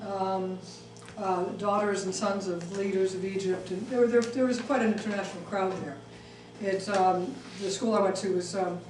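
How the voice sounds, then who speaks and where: A woman's voice: medium pitch, a little mellow. A middle-aged woman raised in Switzerland, giving a talk and taking audience questions, with many ums and restarts. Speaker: speaker. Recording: echoey room, mic far off, faint noise.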